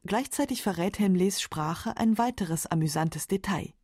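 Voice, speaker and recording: A woman's voice: mid-range voice, animated, moderate pace, darkish tone, breathy edge, dry recording. A woman reading scripted narration for a literary radio documentary, close on a studio microphone.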